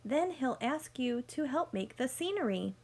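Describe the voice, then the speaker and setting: A woman reading a picture book aloud like a teacher, speaking deliberately to young listeners and explaining as she goes. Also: sits high, sing-song, a little mellow. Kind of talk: read-aloud storytelling with teaching asides.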